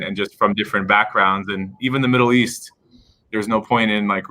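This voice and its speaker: A man's voice: lowish voice, bright, forceful tone, poor audio. A man hosting a live-stream interview, asking long, conversational questions. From Palestinian background. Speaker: host, attorney.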